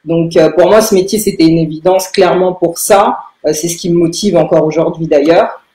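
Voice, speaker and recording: A young woman, answering in a podcast interview with halting phrasing and very frequent filled pauses. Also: fairly low pitch, fairly even intonation, mellow. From Paris, France. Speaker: lawyer, interview guest. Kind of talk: podcast interview answers about own career. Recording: roomy, echoing room sound.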